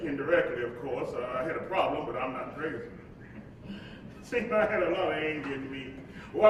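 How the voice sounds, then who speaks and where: An actor playing the reflective spirit of a civil rights preacher, narrating his life in theatrical, deliberate oratory. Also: a bit bright. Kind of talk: theatrical first-person monologue in a staged reading. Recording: distant echoey hall recording, faint rumbling noise.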